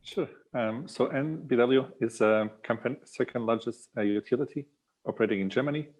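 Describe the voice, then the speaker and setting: A man's voice: mid-range voice, brisk, darkish tone, rough recording. A man giving a conversational, informative business explanation as a podcast guest. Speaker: podcast guest, product manager. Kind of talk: conversational company introduction.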